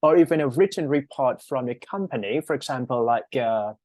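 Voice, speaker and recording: A man's voice: medium pitch, animated, fairly quick, a little mellow, dry recording. A man from Thailand with a Thai accent, a native Thai speaker, teaching an English class online and often checking in with short tag questions. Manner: checks understanding with frequent "right?" tags. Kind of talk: online class lecture explaining an exam task.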